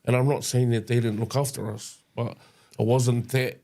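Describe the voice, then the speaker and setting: A young man co-hosting a casual podcast, talking in a halting way with lots of "um" and "yo" interjections. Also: medium pitch, level tone, dark tone. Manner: halting, many fillers and restarts, says yo often. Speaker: podcast co-host. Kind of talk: casual chat.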